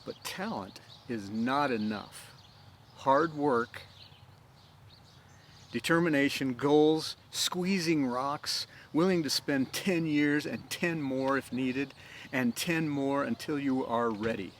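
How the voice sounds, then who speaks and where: A man reading a story and giving an inspirational message, deliberate, with emphatic pauses. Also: mid-range voice, slight background noise. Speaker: storyteller host.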